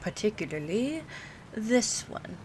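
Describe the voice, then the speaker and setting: A woman acting an aloof, teasing old vampire in an ASMR roleplay, speaking softly and formally with hesitant pauses, often near a whisper. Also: medium pitch, big pitch swings. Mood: dry, guarded. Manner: formal, hesitant, haughty phrasing with drawn-out pauses. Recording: clear background ambience noise. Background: rain, city ambience, soft music.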